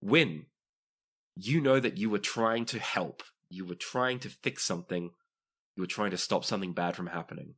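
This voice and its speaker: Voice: deep voice, lively intonation, moderate pace, fairly bright tone, breathy edge. A game master narrating a tabletop roleplaying podcast, switching between dramatic storytelling and dry joking.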